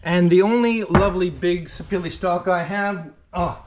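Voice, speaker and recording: Methodical middle-aged shipwright, fairly high voice. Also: male voice, normal speed, noisy background. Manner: thinking aloud, methodical and explanatory. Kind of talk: workshop how-to narration. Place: wooden-walled boat shop, indoors.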